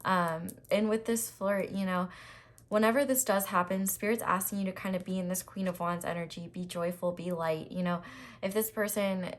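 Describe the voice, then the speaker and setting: A woman with a slightly raspy voice doing a casual, reassuring tarot reading to camera, with frequent ums and restarts. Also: medium pitch, steady tone, moderate pace. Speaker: tarot reader.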